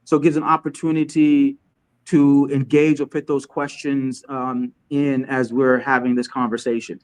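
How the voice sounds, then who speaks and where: A man's voice: mid-range voice, flat delivery, clear, resonant tone, poor audio. Chatty man from Chad hosting a livestream. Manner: halting, many restarts while setting up. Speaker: host. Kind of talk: casual livestream interview hosting.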